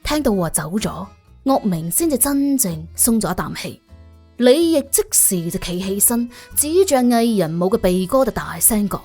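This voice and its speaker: A woman narrating a suspense audiobook in an expressive, colloquial storytelling style. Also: medium pitch, moderate pace. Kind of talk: scripted suspense storytelling in Cantonese. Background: music under the voice.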